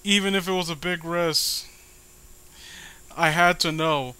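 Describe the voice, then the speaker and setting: Male story reader, higher-than-average pitch, bright forceful tone, dramatic and sarcastic. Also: steady tone, a little slow, clean tone. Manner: sarcastic, dramatic reading broken by asides. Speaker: host reading amateur horror stories. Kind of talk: story reading with mocking commentary.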